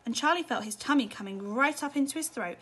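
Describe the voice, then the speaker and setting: A woman's voice: sits high, animated, quick pace, a bit bright, slightly rough. A woman narrating a children's storybook expressively, as a teacher reading at bedtime.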